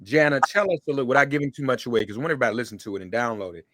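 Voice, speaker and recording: A man's voice: mid-range voice, racing, a bit bright, no echo, studio quality. A man hosting a conversational talk show interview, speaking effusively and often repeating his phrases.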